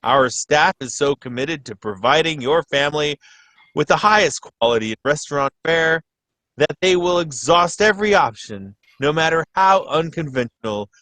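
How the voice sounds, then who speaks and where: A man playing a radio announcer, delivering a parody commercial as a polished, deadpan sales pitch. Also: normal speed, no room sound, rough recording. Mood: earnest selling. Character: smooth-selling announcer of a parody cafe ad.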